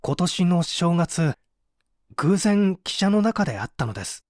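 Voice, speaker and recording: A man's voice: sits high, sing-song, unhurried, a little mellow, no echo. Guy playing broke painter confessing in an audio mystery, earnest n theatrical, very up and down, dry close sound. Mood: subdued. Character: poor male painter confessing crimes, contrite, agitated. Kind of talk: dramatic Japanese audio reading of a mystery story.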